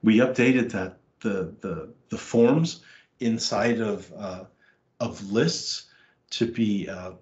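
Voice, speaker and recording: A man presenting a technical conference session over a screen share, hesitant with many fillers and restarts, and a bit hoarse from losing his voice. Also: lowish voice, slow, a little mellow, echoey room, dull audio.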